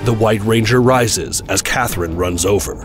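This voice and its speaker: A man with a fairly low voice narrates an action audio drama from a script. Loud dramatic music plays behind him. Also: normal speed. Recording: voice over loud trailer music.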